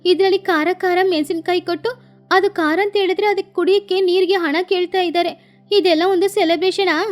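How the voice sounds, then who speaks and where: A woman voicing an indignant, demanding little child sparrow in an animated storybook tale. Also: very high voice, brisk, soft background music, pitched above the performer's own voice. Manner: complaining, demanding.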